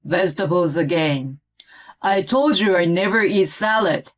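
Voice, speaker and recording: A woman's voice: lowish voice, a little slow, close to an everyday voice. Woman playing a whiny, grumpy picky man. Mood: angry complaining. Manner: indignant, complaining. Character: grumpy, whiny grown man, a picky meat-loving eater. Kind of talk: acted story dialogue.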